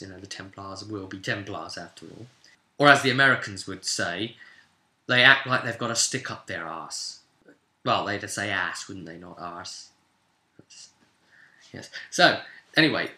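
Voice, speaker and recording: A British man recapping a tabletop role-play session as game master in a chatty, cheeky, animated storytelling style, with casual slang. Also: mid-range voice. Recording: roomy, echoing room sound.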